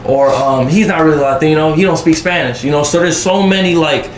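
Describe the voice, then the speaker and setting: A man's voice: fairly high pitch, fairly quick, a little hiss or hum. A man from Southwest Detroit, a musician and dancer, chatting as a podcast guest in a laid-back, slangy way. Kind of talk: casual chat. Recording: echoey room.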